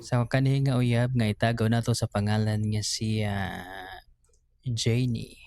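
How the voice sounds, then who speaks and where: A man with a Visayan Filipino accent hosting a radio show, reading a listener's letter informally in a halting, teasing way. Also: on the low side, fairly even intonation, no room sound, clean studio sound. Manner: halting, drawn-out delivery with teasing interjections. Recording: loud music bed under voice. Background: music.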